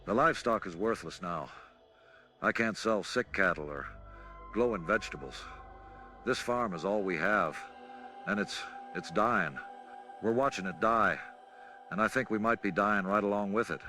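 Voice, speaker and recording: An actor playing a weary, frightened middle-aged farmer recording a halting, anguished diary entry in a radio drama. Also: male voice, on the low side, bright, forceful tone. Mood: despairing. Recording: music behind the voice. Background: background music.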